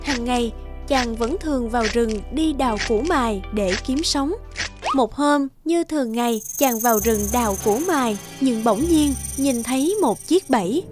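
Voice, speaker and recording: A woman's voice: a little high, normal speed, over music. A woman reading scripted fairy-tale narration as the storyteller.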